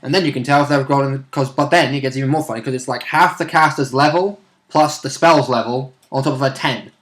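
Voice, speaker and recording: A man's voice: medium pitch, steady tone, fairly quick, fairly bright tone. Informal man rambling about tabletop gaming. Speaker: player in a tabletop role-playing game. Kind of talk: casual chat about game rules and play.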